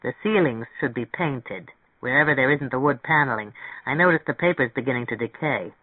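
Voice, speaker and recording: A narrator voicing a practical, reserved older man in an audiobook, calm, measured and matter-of-fact. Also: mid-range voice, no echo, close to an everyday voice. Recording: thin, phone-like band-limited audio.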